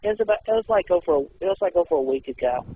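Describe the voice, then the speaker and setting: A man talking casually on the phone in a halting, repetitive way. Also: sits high, expressive, breathy edge. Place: telephone call. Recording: tinny narrowband phone line.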